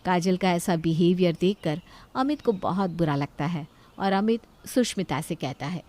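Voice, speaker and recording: A woman's voice: lowish voice, lively intonation, normal speed, a little mellow. A woman storyteller reading scripted narration for a moral story.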